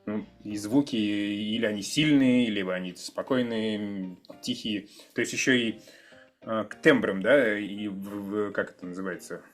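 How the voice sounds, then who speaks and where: A man's voice: fairly low pitch, moderate pace. A man in a casual podcast discussion, hesitantly searching for his words. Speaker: podcast participant. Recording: music in the background. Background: music.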